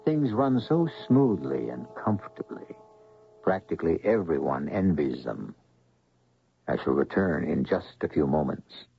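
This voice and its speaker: A man hosting a radio mystery drama, narrating in a theatrical, deliberate way. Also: a bass voice, sing-song, gravelly, a little hiss or hum. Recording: muffled old radio broadcast. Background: music.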